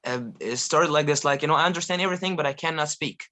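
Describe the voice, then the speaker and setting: A man's voice: a little high, fast talker. Young Egyptian man with American-style English. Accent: American-style English learned by a non-native. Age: 20s. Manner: animated, laughing often, frequent restarts. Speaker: guest polyglot. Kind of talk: casual conversational interview.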